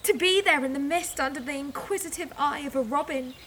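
Young daughter on the edge of a new life woman acting, emotional, higher register, naturalistic radio-play delivery. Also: brisk, fairly bright tone, slight background noise. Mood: elated. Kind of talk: naturalistic audio drama acting.

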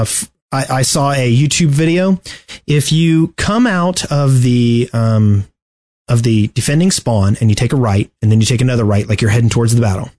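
A man co-hosting a casual video game discussion, choosing his words in a halting, deliberate way. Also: mid-range voice, a little mellow. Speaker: podcast co-host.

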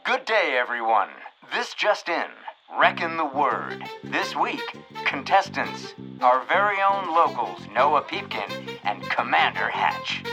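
An actor playing a dramatic old-time radio announcer in audio fiction. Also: male voice, mid-range voice, big pitch swings, slow pace, gravelly, pushed and bright, faint music behind, heavily acted, low-quality recording. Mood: urgent, grave. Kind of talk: mock radio news and show announcing.

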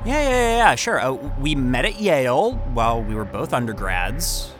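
An actor playing a cocky, talkative young job candidate who stammers and rambles in a naturalistic audio drama. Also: male voice, mid-range voice, sing-song, normal speed, a bit bright, soft background music. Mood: nervous, awkward. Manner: stammering, rambling, self-interrupting.

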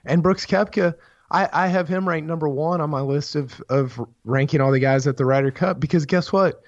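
A hoarse man who is losing his voice, co-hosting a sports podcast in casual, rambling chat full of restarts. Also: fairly high pitch, moderate pace, no echo. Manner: rambling, many restarts, excited. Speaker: podcast co-host, golf writer. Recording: dull, dry podcast mic sound.

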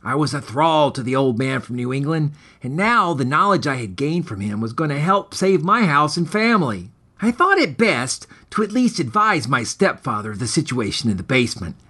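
Male narrator, very lively intonation, husky edge, wry mock-grave dramatic storytelling. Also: medium pitch, moderate pace. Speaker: podcast host and author reading his own story.

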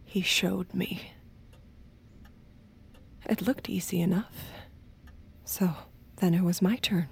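An actress playing a seductive, submissive killer who confides in the listener in a hushed, intimate manner for an audio drama. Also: female voice, lowish voice, steady tone, slow pace, creaky, long pauses, slightly rough, heavily acted. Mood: hushed, confiding. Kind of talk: intimate first-person narration and acted dialogue in audio drama.

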